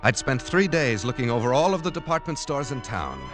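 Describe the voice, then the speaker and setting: A man's voice: medium pitch, big pitch swings, fairly quick, lightly acted. A man playing an eccentric, reclusive poet voicing his diary in a 1940s radio drama, theatrical and precise. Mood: secretive, pleased. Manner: theatrical, precise, self-satisfied. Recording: vintage radio recording with music. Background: music.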